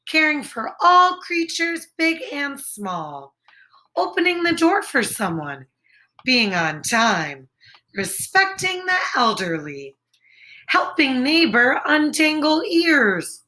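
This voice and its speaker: A woman teacher reading a children's picture book aloud, expressive and deliberate, speaking directly to her young listeners. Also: high voice.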